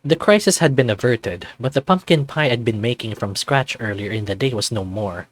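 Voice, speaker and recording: A man's voice: mid-range voice, lively intonation, normal speed, no room sound. A man reading scripted first-person story narration for a visual novel.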